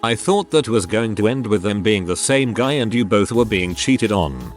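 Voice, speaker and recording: A man with a lowish voice reads short stories aloud in a steady, scripted narration. His delivery is lively in pitch and carries dry asides. Faint music plays underneath. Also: moderate pace.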